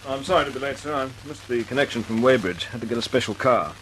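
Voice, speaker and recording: An actor playing an earnest young bomber squadron commander in an old radio drama, clipped and direct. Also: male voice, medium pitch, fast, very noisy, natural voice. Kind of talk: 1940s radio acting.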